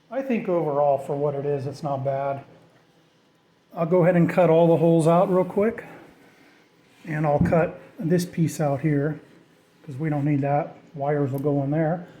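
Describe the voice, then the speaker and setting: A middle-aged man with a fairly high but mellow, soft-edged voice talks through a DIY job. He is informal and self-deprecating, thinking aloud as he shows each step to viewers. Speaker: DIY vlogger. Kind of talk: casual hands-on tutorial narration.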